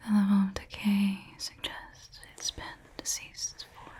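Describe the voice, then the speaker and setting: Slow, whispering woman in clinical ASMR. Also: medium pitch, completely flat delivery, a little mellow. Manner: measured, detached, precise scientific delivery. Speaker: ASMR performer. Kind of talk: ASMR roleplay, soft whispered clinical observation.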